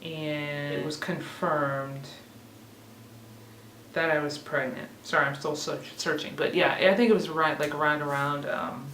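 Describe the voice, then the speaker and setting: A woman's voice: deep voice, steady tone, a little slow, fairly bright tone. A woman in her thirties chatting casually to camera as a vlogger, rambling and laughing at herself. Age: 30s. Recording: echoey room, mic a bit far off, faint hiss.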